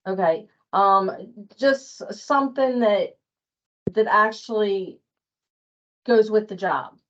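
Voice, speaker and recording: A woman with a mid-pitched voice gives an informal workplace walkthrough. She speaks hesitantly, like a presenter feeling her way through the steps. The sound is muffled and band-limited, as on an online meeting. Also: takes it slowly, a bit bright. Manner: hesitant, checks with colleague for confirmation. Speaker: training presenter.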